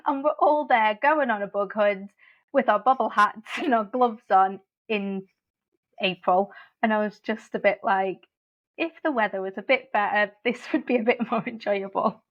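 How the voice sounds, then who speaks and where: A woman's voice: fairly high pitch, normal speed, highs cut off. A woman, an early years teacher, chatting as a podcast guest and laughing often. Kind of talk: casual podcast chat.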